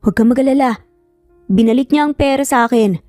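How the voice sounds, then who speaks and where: A woman's voice: a little high, fairly quick, dark tone, dry recording, faint music behind, lightly acted. A woman with a Filipino accent voicing a nervous man who defends himself, shaky but emphatic, in an audio romance story. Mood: nervous, firm.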